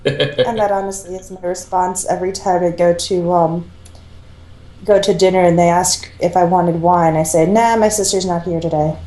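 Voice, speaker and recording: A woman's voice: on the low side, steady tone, normal speed, dark tone. A woman talking in a casual, conversational way. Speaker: fan fiction reader. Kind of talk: casual chat. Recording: roomy echo.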